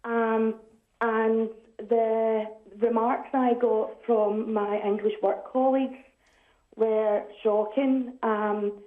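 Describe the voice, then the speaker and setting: A woman's voice: on the high side, level tone, extremely slow. A woman from Scotland, calling a radio phone-in and talking in a hesitant, conversational way. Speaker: caller. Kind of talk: phone-in call. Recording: tinny echoey phone line.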